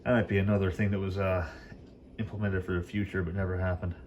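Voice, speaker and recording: A man's voice: low-pitched, level tone, fast talker, darkish tone, muffled recording, slight background noise. A man giving a casual, unscripted demo as a hi-fi hobbyist, rambling along in an informal, enthusiastic way. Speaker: vintage hi-fi hobbyist demonstrating gear.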